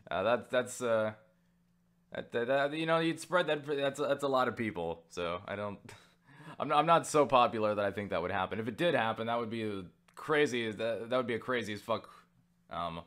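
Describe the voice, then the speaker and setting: A man's voice: medium pitch, normal speed, a bit bright. A man from the United States answering viewer questions in a casual vlog, rambling, joking, swearing freely and poking fun at himself. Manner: self-deprecating.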